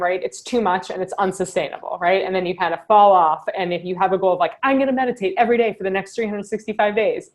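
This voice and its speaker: A woman guides a group through journaling prompts over a video call. She talks in a casual, upbeat way, with plenty of fillers and quick "right?" asides. Her voice sits at an everyday middle pitch. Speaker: workshop host.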